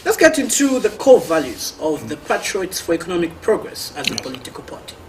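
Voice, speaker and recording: A man's voice: high voice, sing-song, brisk. A Zambian man hosting a formal television interview, introducing and questioning his guest.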